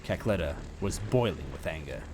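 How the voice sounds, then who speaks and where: A man's voice: deep voice, lively intonation, moderate pace, background noise. A man narrating a story aloud in a dramatic first-person style.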